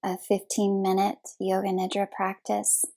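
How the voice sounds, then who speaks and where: A woman leading a guided relaxation meditation as a yoga nidra instructor, speaking soothingly in short, unhurried phrases with long pauses. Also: mid-range voice, completely flat delivery, mellow.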